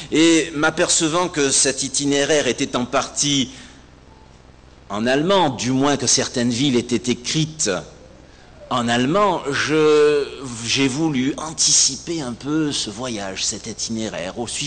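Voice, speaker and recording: A male pastor delivers a sermon in a big, reverberant space with some noise behind him. His mid-pitched voice is emphatic and rhetorical, leaning on repeated phrases and deliberate pauses. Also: a bit bright. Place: large reverberant hall, speaking to a congregation. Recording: echoey hall, long reverb, some background noise.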